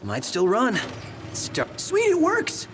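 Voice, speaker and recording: Jittery, wildly animated young man. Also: very high voice, slow, background noise, heavily acted. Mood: curious. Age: young adult. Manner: excitable, jokey, nervous chatter. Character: jittery, chatty young man, cheeky scaredy-cat adventurer. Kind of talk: video game voice acting, casual banter. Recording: music under the voice.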